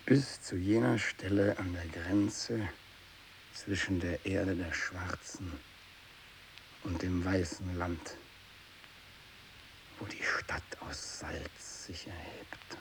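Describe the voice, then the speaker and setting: An actor playing a tormented, bitter, fanatical renegade missionary in a radio drama monologue, brooding and intense, moving between hushed speech and anguished outbursts. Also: male voice, low voice, slow pace, pauses often, rough recording. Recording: steady tape hiss.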